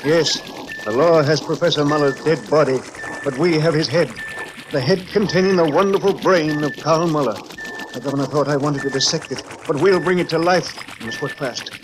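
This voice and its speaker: An actor playing an obsessed, urgent professor of science in old radio drama, earnest, commanding and melodramatic. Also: male voice, fairly high pitch, moderate pace, close to an everyday voice, poor audio. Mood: urgent, excited. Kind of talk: 1940s radio drama acting. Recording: old radio recording, music behind.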